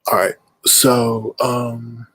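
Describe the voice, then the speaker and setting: A man's voice: mid-range voice, little pitch movement, drawn-out words, fairly bright tone, dry recording. A man with a stuffed-up nose hosting a casual livestream, rambling and greeting viewers in chat mid-sentence. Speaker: livestream host.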